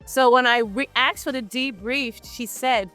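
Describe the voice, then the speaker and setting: A woman from Barbados, a guest in a casual business chat, telling her story in a relaxed, matter-of-fact way. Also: on the high side, measured pace, faint music behind.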